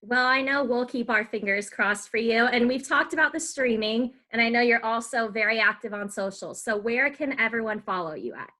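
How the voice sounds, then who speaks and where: Chatty woman with a bright voice. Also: a little high, moderate pace, few pauses. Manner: chatty, enthusiastic, uses "um" and "y'all". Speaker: podcast host. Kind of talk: casual interview questions.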